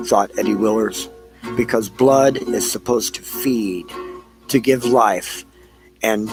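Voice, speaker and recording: Casting: male narrator for scripted audio drama, unhurried and somewhat deep, with guitar playing behind the voice. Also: rough recording.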